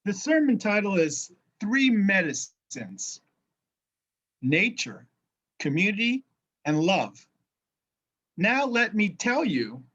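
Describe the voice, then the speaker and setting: A minister with a faint New Jersey accent preaches in a slightly raised voice, slow and deliberate, now and then stumbling over a word and starting it again. The recording is a little dull and lo-fi. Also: male voice, a little high, a bit bright. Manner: slow, careful speech after a brain injury, occasional word restarts. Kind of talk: sermon.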